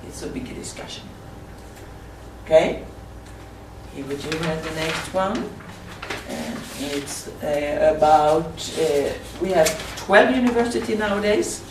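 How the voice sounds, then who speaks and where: A Swedish woman with a Swedish accent, speaking halting non-native English in a slide presentation at a conference. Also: lowish voice. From Sweden. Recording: roomy, distant mic with faint hiss.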